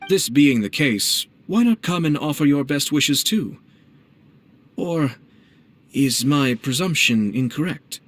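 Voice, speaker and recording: An actor playing a polite, composed young male clan head in a video game, speaking in a formal, measured, elegant manner. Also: fairly high pitch, expressive. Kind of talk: video game character acting. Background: game music.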